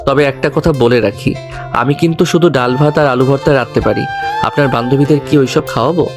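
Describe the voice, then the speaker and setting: A man's voice: mid-range voice, fast talker, close to an everyday voice. Man acting a cheeky young servant husband over music. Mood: teasing. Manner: mock-deferential, teasing. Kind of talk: romantic audio story acting.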